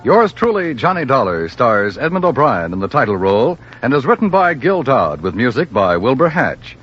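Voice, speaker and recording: A male announcer reads the credits of a 1950s radio show in a formal way, his pitch moving lively. The recording is a dull, lo-fi old broadcast, with faint music behind. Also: moderate pace.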